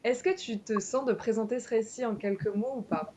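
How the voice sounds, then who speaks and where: A woman's voice: a little high, fairly even intonation, a little fast, a little mellow. A woman hosting an interview, asking questions in an informal, friendly and encouraging way. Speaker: interviewer and channel host. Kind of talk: casual interview chat.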